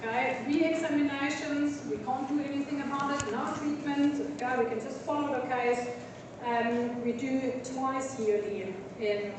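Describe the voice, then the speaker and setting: A woman with a German accent lectures in a high voice, walking students through a case with frequent ums and likes. She is heard from a distance in a large, echoing hall, with noise hanging in the room. The lecture is relaxed and explanatory. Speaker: veterinary lecturer. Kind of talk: university lecture. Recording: echoey hall, voice from far off.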